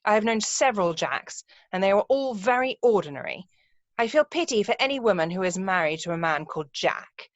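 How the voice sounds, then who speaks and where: A woman's voice: mid-range voice, animated, a little fast, no room sound, close to an everyday voice. A woman playing a self-assured, romantic young upper-class lady in an amateur play read-through, speaking precisely and emphatically over a video call. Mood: firm, certain. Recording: muffled, dull video-call audio.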